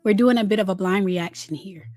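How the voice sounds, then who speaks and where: A woman hosting a casual celebrity gossip commentary, chatty and candid, with drawn-out emphasis and a slightly raspy voice worn from a recent illness. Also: medium pitch, darkish tone, faint music behind. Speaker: host of a celebrity gossip channel.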